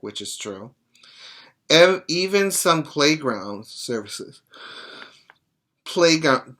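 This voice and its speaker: A middle-aged man teaching preschoolers, reading aloud and explaining things in a deliberate, didactic way. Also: mid-range voice, sharp tone. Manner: deliberate, didactic, stopping to explain and check understanding. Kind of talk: read-aloud to young children with plain explanations. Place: small indoor room at home.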